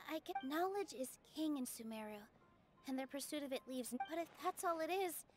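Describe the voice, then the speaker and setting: A woman playing a gentle, earnest young theater dancer in a video game. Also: sits high, lively intonation, brisk, over music. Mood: earnest, troubled.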